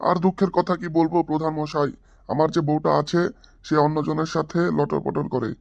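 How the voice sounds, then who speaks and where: A man's voice: on the high side, little pitch movement, fairly quick, gravelly, darkish tone, highs cut off. A man voicing a jealous, quarrelsome old village husband, nagging and blustering in an exaggerated comic Bengali drama style. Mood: complaining.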